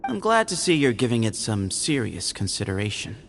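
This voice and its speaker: An actor playing a calm, shrewd young man, heir to a temple, who speaks in a matter-of-fact way, in a game cutscene. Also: medium pitch, brisk, vocal fry, a little hiss or hum.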